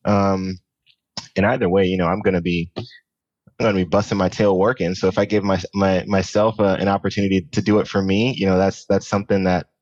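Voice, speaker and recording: A man's voice: sits low, little pitch movement, brisk, a little mellow, no echo, dull audio. A man in his thirties chatting casually and earnestly as a guest in an interview. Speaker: podcast guest, tech business founder.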